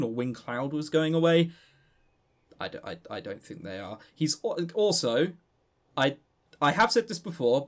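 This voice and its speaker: A man with a southern English accent co-hosting a casual gaming news chat, animated, sardonic and sweary in manner. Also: medium pitch. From southern England. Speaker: podcast co-host.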